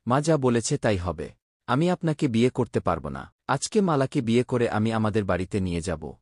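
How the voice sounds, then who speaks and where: A man playing a dutiful, resolute young groom in a cartoon fairy tale, with a husky voice. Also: lowish voice, sing-song, fairly quick, a little mellow, no echo, studio quality.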